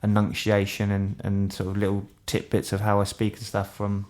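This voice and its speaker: Podcast host: man, London accent, low voice, jokey and rambling. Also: completely flat delivery, normal speed, a little mellow. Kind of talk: casual chat.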